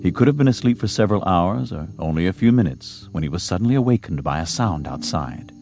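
A man narrating a scripted western story in a measured, dramatic storytelling manner. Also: low voice, lively intonation. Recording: music under the narration. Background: music.

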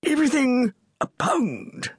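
A woman voicing a fussy, gentle upper-class older man who speaks in a plummy, slightly tetchy way. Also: sits high, very animated, slow pace, slightly rough, no room sound, studio quality, pitched above the performer's own voice, full character voice. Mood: genteel.

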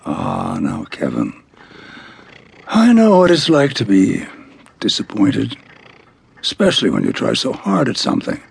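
A man playing a gentle, doting elderly grandfather in an audio drama, folksy, with halting exclamations and sighs. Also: fairly low pitch, wildly swooping pitch, vocal fry, slightly rough, exaggerated voice. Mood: gently concerned. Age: older.

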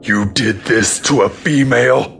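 A man's voice: medium pitch, unhurried, creaky, a bit bright, slight background noise. A man voicing a stern, commanding male alien emperor in an audiobook. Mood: cold fury. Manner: cold, commanding.

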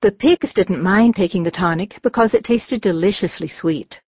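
A woman's voice: mid-range voice, moderate pace, slightly rough, no room sound, muffled recording. A woman narrating a scripted children's audiobook.

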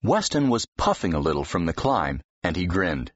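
A man with a deep, husky voice reading fiction expressively as an audiobook narrator. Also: very animated, normal speed, dry recording. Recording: dull, slightly muffled audio.

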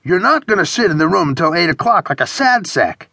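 A man's voice: high-pitched, very animated, fairly quick, bright, forceful tone, no room sound, studio quality, voice pushed up, heavily acted. A man voicing a pushy, bored, childish friend in audiobook dialogue, whining and exclaiming. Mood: pushy, incredulous.

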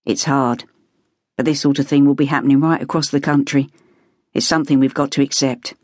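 A woman voicing a calm, protective older brother, reasoning steadily. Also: rumbling low, a little fast, no echo, studio quality, pitched below the performer's own voice. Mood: consoling.

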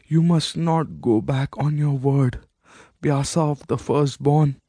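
A man reading a story voices a proud, vengeful old queen, dying, with a feeble hoarse rasp. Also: on the high side, fairly even intonation, takes it slowly, dark tone, no echo, clean studio sound. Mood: insistent.

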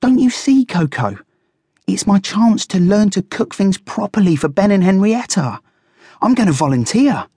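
A woman playing an eager, excitable male guinea pig with a squeaky, enthusiastic manner in a children's storybook. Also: normal speed, slightly rough, dry recording, studio quality. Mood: earnest, eager.

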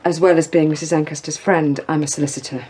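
An actress playing a forthright, insistent British solicitor friend, brisk and assertive in a naturalistic radio drama. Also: female voice, deep voice, fairly even intonation, noisy background, natural voice. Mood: firm.

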